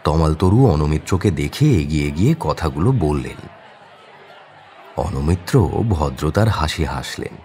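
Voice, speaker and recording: A man's voice: very deep voice, animated, measured pace, warm tone, slight background noise. A man narrating an audio story in a dramatic, scripted storytelling style.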